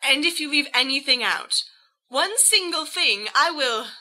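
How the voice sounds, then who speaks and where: A woman's voice: high voice, animated, a little slow, bright, forceful tone, voice pushed up, heavily acted, rough recording. A woman voicing a furious young wife in an audiobook, scolding in an emphatic, clipped, demanding way.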